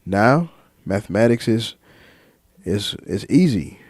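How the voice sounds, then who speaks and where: A man talking freely to his viewers in a reflective monologue, slow and deliberate, listing ideas one word at a time with some fillers and repeats. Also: fairly low pitch, very animated, darkish tone, no echo, clean studio sound. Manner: lists ideas in measured single words. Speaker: vlogger.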